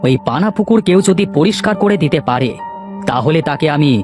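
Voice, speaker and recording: A brooding old village headman, acted out by a man for a cartoon. He speaks in a ponderous, deliberate way with lively swings of pitch, weighing his doubts, over background music. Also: lightly acted. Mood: pondering, decisive. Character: worried elderly village headman, brooding and skeptical. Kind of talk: Bengali cartoon voice acting.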